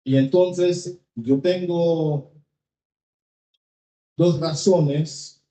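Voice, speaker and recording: A Haitian singer in his fifties, whose first language is Haitian Creole, answers audience questions slowly and carefully, searching for words in a second language. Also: a little high, fairly even intonation, dark tone. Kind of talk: live public Q&A. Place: cultural venue hall with an audience. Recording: echoey, dull hall sound.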